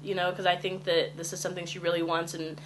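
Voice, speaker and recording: A woman in her twenties introducing herself candidly for a casting tape, talking in a relaxed conversational way with frequent ums. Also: on the low side, quick pace, a little hiss or hum, low-quality recording. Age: 20s. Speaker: casting applicant.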